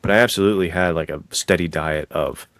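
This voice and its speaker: Deep-voiced, bro-ish man chatting casually. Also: fast talker, a bit bright, no room sound. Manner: bro-ish, informal, enthusiastic. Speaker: podcast co-host. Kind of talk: casual podcast chat.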